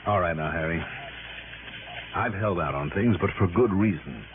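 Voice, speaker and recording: An American actor playing a tough, self-assured private investigator in 1960s radio drama, speaking in an emphatic, wry, persuasive way. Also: male voice, sits low, moderate pace, lightly acted. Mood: earnest, confiding. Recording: noisy, tinny old radio broadcast recording.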